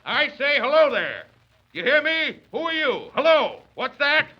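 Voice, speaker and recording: A man's voice: very high-pitched, big pitch swings, unhurried, exaggerated voice. An actor playing a domineering, exasperated father in a blustery, emphatic 1930s radio comedy style. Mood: exasperated, blustering. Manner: blustery, sputtering, emphatic, old-fashioned mild cursing. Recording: muffled vintage 1930s radio recording.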